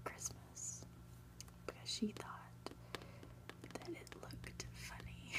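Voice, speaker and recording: A woman hosting ASMR, whispering gently as she reads a book aloud with casual asides. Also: low-quality recording. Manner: gentle, unhurried, soft-spoken. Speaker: ASMR host.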